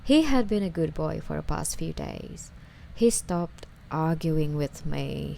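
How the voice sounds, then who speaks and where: Obsessive, lovesick young female stalker played by a woman for ASMR roleplay: coy and wheedling, slow, with creaky fry. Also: low voice, mellow, slight background noise, heavily acted, rough recording. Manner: coy, wheedling, hesitant, sighing delivery.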